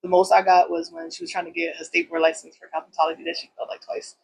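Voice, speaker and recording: A woman's voice: lowish voice, little pitch movement, fast, gravelly, a little hiss or hum, poor audio. A woman in her thirties from Puerto Rico, a salon owner telling her story in a loose, chatty interview style with plenty of fillers.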